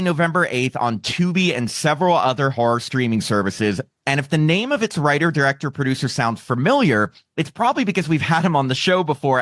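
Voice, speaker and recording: A man's voice: medium pitch, a bit bright, no echo, clean studio sound. A man hosting a horror podcast, reading intros and teasing his guests in a playful, chatty way. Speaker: podcast host.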